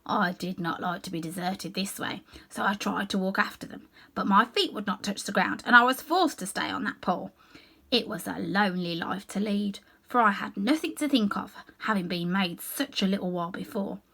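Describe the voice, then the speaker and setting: A woman's voice: mid-range voice, moderate pace, gravelly, bright, forceful tone. A woman voicing a naive male scarecrow, earnestly and simply telling his story as in a children's storybook. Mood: earnest, wistful.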